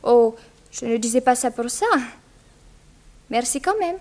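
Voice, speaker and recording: A woman's voice: a little high, animated, a little slow, warm tone. An actress playing a bold, proud eighteen-year-old Russian immigrant girl in a 1960s French radio drama, with a cheeky, self-assured manner. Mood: cheeky, chatty.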